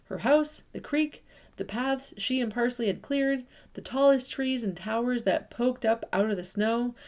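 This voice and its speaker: A woman reading a picture book aloud to children, expressive and lively, stressing sounds and rhythm. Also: on the high side, measured pace. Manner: warm, expressive storytelling with lively emphasis. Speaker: children's story reader.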